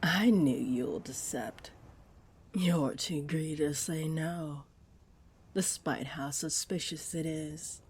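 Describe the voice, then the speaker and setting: A woman voicing a flirty, possessive mermaid in an intimate roleplay audio drama, coaxing in breathy half-whispers. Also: sits low, slow, a little mellow, full character voice. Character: flirty, possessive, greedy female mermaid luring a pirate. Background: wave ambience.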